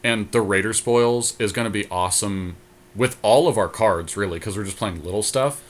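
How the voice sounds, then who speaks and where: A man's voice: on the low side, normal speed. A man co-hosting a casual podcast chat about a card game, thinking aloud as he goes. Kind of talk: casual back-and-forth chat while building a card deck.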